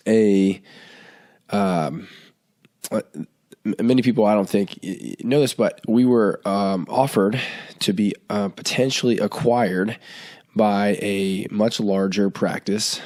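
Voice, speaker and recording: A man's voice: on the low side, a little mellow. A man in his thirties hosting a podcast, talking casually with plenty of fillers, his voice stuffy from a head cold. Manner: chatty, loose, many fillers and restarts. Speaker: podcast host.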